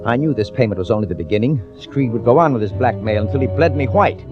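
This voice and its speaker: An American actor playing a smooth, ambitious, ruthless prosecutor in a radio suspense drama, urgent, commanding and calculating. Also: male voice, medium pitch, expressive, fast talker, over music, close to an everyday voice. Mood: brooding. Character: scheming, smooth, ambitious prosecutor, outwardly friendly, privately ruthless. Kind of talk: 1940s radio acting, with dramatic first-person narration. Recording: dull old-time radio recording.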